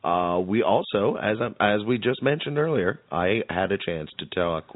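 Very deep-voiced man hosting, thin audio. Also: animated, normal speed, no room sound. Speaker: interview host. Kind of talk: casual, joking radio show chat. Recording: thin, band-limited phone-like audio.

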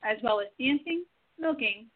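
A woman's voice: fairly high pitch, lively intonation, moderate pace. A woman reading the narration and stage directions of an amateur radio play. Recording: tinny phone-like recording.

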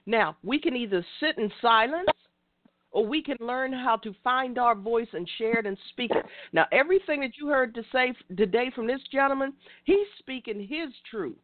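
A woman's voice: fairly high pitch, lively intonation, moderate pace, no echo. Preachy woman in her fifties on a phone line. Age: 50s. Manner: preachy, addresses listeners directly. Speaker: radio show host. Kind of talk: call-in talk show commentary.

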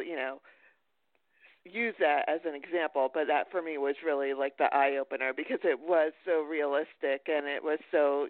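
A man chatting casually as a podcast interviewer, with a halting, rambling delivery. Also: fairly high pitch, dry recording. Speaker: podcast host. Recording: thin phone-like line.

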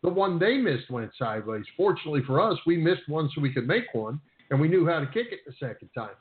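A man co-hosting a sports radio show, talking casually and haltingly, often repeating words as he works through his point. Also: on the high side, big pitch swings. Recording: roomy, thin phone-like sound.

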